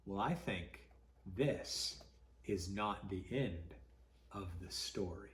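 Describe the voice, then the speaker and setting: A man reading a story to children as a pastor would, dramatic and expressive, with deliberate pauses. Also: deep voice, echoey room.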